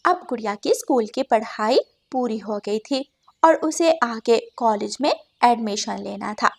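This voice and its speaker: A woman's voice: a little high, animated, a little slow, creaky, darkish tone. A woman narrating a scripted cartoon bird story.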